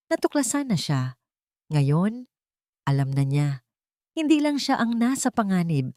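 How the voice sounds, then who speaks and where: A woman's voice: fairly low pitch, a little mellow, no echo, clean studio sound. A woman with a Filipino accent narrating a scripted romantic drama in a dramatic storytelling style.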